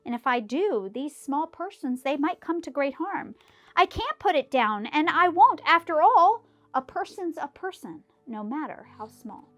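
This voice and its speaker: A woman voicing a gentle, loyal male elephant who talks in an earnest, pleading way. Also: high voice, very animated, unhurried, voice pushed up, heavily acted.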